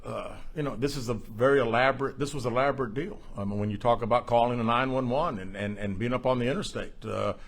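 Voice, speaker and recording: A man speaking as a police chief at a press briefing, answering questions in a halting, measured, plain-spoken way. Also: mid-range voice, vocal fry.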